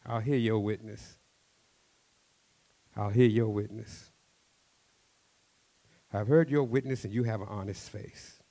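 A man voicing a wise village chief in a folk tale, speaking in solemn, measured, rhythmic phrases. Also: on the low side, steady tone, warm tone, rough recording.

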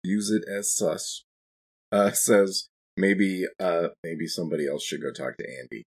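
A man with a husky voice, chatting casually as a player in a tabletop game. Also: low voice, measured pace. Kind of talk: casual in-character tabletop discussion.

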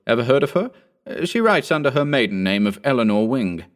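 A man plays a cocky young man itching for hard liquor, boastful and scornful of anyone dull. His voice is pitched well above the reader's own. He talks with swagger. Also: big pitch swings, a little fast, natural voice. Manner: boastful, contemptuous. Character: brash young man craving drink.

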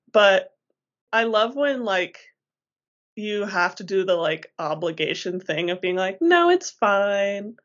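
A woman's voice: mid-range voice, fairly bright tone, muffled recording. A woman co-hosting a podcast in casual chat, playful and slangy, often laughing. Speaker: podcast co-host.

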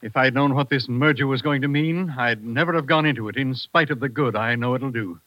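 A man's voice: medium pitch, a little fast, close to an everyday voice. An actor playing an anxious, unheroic businessman, worried and hesitant, in an old-time radio drama. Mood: anxious, resigned. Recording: dull, dry old radio recording.

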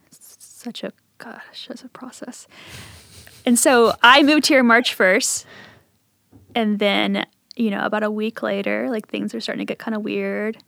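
A woman's voice: mid-range voice, fairly even intonation, a little slow, vocal fry, fairly bright tone, no room sound, clean studio sound. A woman in her thirties from Appalachian southern Ohio and later Virginia, telling personal stories casually as an interview guest. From southern Ohio Appalachia, then Richmond, Virginia. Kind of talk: casual conversational storytelling.

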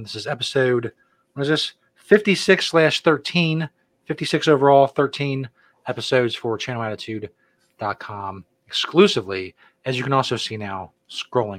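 A man's voice: medium pitch, a bit bright, dry recording. A middle-aged man co-hosting a casual podcast, laid-back and joking as he rambles through announcements. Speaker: podcast co-host. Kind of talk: casual chat.

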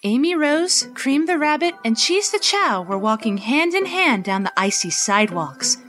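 A woman's voice: sits high, sing-song, normal speed, fairly bright tone. A woman playing a poised, festive narrator, reading scripted storybook narration for a fan radio play. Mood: poised, storytelling. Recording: faint music behind.

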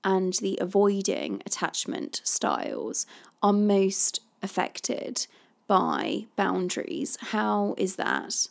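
A female podcast host asks her question slowly, drawing out each word with a very lively rise and fall in pitch. Her voice is heavily gravelly with vocal fry, and her delivery is measured. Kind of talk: interviewer question.

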